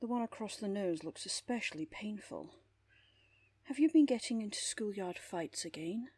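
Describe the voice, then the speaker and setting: A woman playing a composed, wry underworld therapist with a husky voice and measured, formal delivery in an audio drama. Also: mid-range voice, lively intonation, warm tone, no echo. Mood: dry amusement. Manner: measured, dry, formal wording. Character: composed, wry female underworld therapist who makes people disappear. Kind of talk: audio roleplay drama acting.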